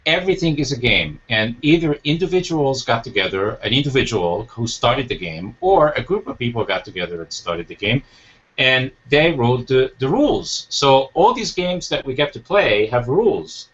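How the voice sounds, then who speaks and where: A man's voice: medium pitch, sing-song, a bit bright. Man, talk show host, reflective, rhetorical questions, dull lo-fi sound. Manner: reflective, uses rhetorical questions, halting restarts. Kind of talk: interview conversation. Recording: dull lo-fi recording.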